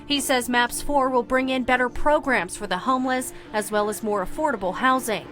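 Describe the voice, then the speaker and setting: A woman reporter reads a measured news script in a slightly high voice. Music plays clearly underneath her narration. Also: fairly bright tone. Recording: news package with music bed.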